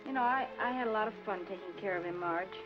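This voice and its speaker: An actress playing a fretful, motherly, sharp-tongued secretary and bride in a 1940s film comedy. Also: medium pitch, quick pace, close to an everyday voice. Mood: brisk, motherly. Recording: muffled 1940s film soundtrack, music behind.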